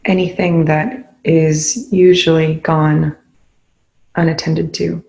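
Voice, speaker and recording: A meditation guide speaking calmly and instructively, leaving long pauses between phrases. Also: medium pitch, vocal fry, rough recording.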